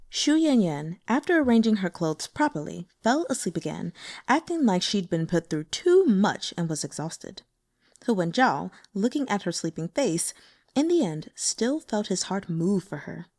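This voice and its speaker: A woman's voice: mid-range voice, a little mellow, dry recording, clean studio sound. A woman narrating a fan-translated novel expressively and dropping sarcastic asides. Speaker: audiobook narrator.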